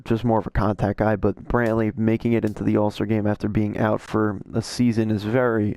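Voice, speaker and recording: A man with a hoarse, slightly worn-out voice gives casual, rambling commentary as a YouTube creator, often correcting himself mid-sentence as he shares his opinions. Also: on the low side, a little mellow, dry recording. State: hoarse, voice a little shot. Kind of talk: casual commentary over an on-screen roster.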